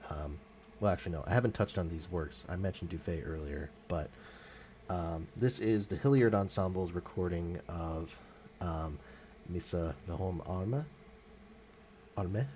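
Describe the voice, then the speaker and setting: Low-voiced man musing, full of ums. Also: a little slow, dark tone, a little hiss or hum. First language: English. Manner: hesitant, many fillers and self-corrections. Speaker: music vlogger. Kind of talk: casual spoken music commentary.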